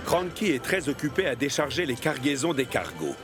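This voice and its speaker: A man's voice: mid-range voice, big pitch swings, normal speed, over loud music. A man acting as the storybook narrator of a children's cartoon, reading scripted narration. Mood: storytelling.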